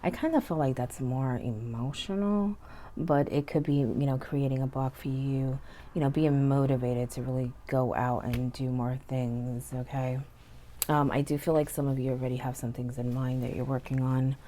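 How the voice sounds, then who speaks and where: Woman tarot reader, very deep, mellow voice, stuffy and hoarse, chatty, faint hum behind. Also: normal speed. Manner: relaxed, chatty, frequent "okay?" and "you know". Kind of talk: casual tarot reading. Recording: faint background noise.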